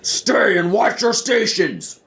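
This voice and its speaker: A man's voice: high-pitched, sing-song, creaky, fairly bright tone, pitched above the performer's own voice, exaggerated voice. A man voicing a villainous alien turtle general in an audio play, commanding and menacing, drawing out his words. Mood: furious. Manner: drawn-out, menacing delivery.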